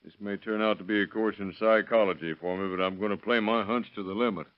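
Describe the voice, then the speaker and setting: A man's voice: on the low side, steady tone, fast, clean tone, lightly acted. A man acting a plain-spoken, stubborn police officer in an old radio drama, in a dull vintage recording. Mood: dogged, matter-of-fact. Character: plain-spoken, stubborn male cop who plays hunches.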